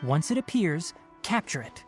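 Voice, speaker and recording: A man playing a calm, bluntly dry young forest watcher in a video game, with a measured, scholarly manner. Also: fairly high pitch. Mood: matter-of-fact. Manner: measured, scholarly, matter-of-fact. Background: game music.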